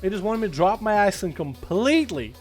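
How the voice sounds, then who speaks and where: Excitable streamer with a slight Russian accent. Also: male voice, high voice, fairly quick, soft background music. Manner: excitable, hollers and swears when thanking viewers. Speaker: streamer hosting duels. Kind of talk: live game stream banter.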